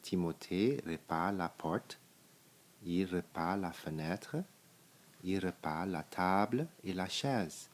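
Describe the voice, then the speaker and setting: Deep, slow-paced man reading a storybook. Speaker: storybook reader. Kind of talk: children's picture-book read-aloud.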